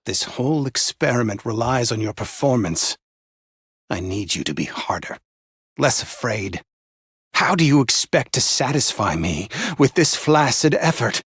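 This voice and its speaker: An actor playing a stern, contemptuous male overseer in a video game, speaking in a measured, theatrical, condescending way. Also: mid-range voice, expressive, fairly bright tone, husky, no room sound, clean studio sound. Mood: demanding.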